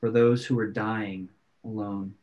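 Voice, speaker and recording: A man's voice: lowish voice, flat delivery, darkish tone. Solemn man reading prayers, lo-fi. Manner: measured and solemn. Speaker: sign language interpreter voicing prayers. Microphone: video call. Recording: dull lo-fi video call audio.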